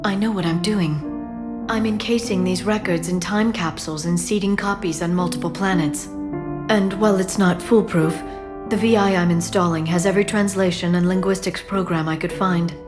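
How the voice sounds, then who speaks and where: Woman acting a gentle, earnest alien scholar over music. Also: lowish voice, fairly even intonation, normal speed, lightly acted. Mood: earnest, warm. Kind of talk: dramatic video game acting.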